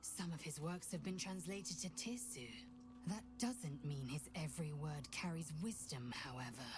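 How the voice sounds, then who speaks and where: Woman acting a low-voiced, stern warrior woman. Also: moderate pace, natural voice. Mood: stern, scornful. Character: stern, proud, scornful alien warrior woman. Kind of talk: video game character acting.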